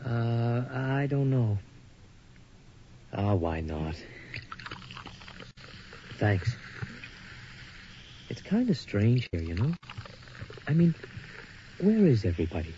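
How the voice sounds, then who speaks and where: A man's voice: medium pitch, sing-song, slow pace, pauses often, mellow, a little hiss or hum. An actor playing a nervous, earnest young man who stammers and hesitates, in a radio drama. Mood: awkward eager. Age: young adult. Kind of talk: 1980s radio drama acting. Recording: dull older radio recording.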